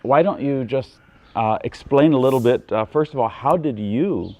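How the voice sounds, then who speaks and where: A male journalist hosts the interview and asks halting, filler-laden questions. His low voice is gravelly and mellow. The recording is dull and lo-fi. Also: no room sound.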